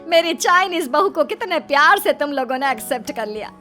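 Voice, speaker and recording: A doting middle-aged cartoon mother-in-law, put on by a woman, fusses and exclaims. Her fairly high voice swings widely, over loud music. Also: lively intonation, brisk. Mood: warm, approving. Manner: fussing, exclaiming.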